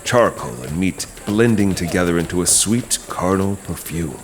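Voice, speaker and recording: A man narrating a horror audio drama as host, in a measured, dramatic storytelling manner with pauses. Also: deep voice, expressive.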